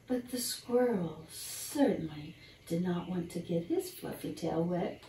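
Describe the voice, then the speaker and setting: An older woman reads a children's picture book in a gentle, unhurried, expressive bedtime style, talking to young listeners. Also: fairly low pitch. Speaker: storyteller reading a picture book. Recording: roomy, distant mic, faint background noise.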